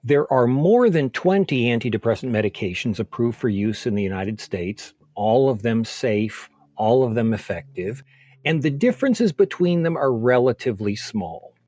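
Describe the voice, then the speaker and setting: A man speaking as a medical expert in an interview, explaining clinical guidance in a measured, methodical way. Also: medium pitch, lively intonation, a little mellow, dry recording.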